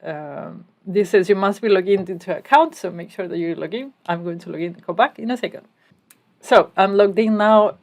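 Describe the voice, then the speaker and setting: A woman's voice: medium pitch, moderate pace. A woman from Spain giving a casual step-by-step coding tutorial as she types. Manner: explains step by step while typing, casual asides. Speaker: programming instructor.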